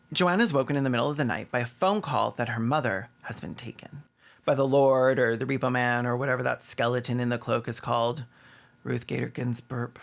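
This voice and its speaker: A man's voice: mid-range voice, fairly bright tone. A man delivering sarcastic recap commentary as a video host, mocking what he describes and acting out bits of dialogue. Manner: sardonic, mocking, acts out dialogue.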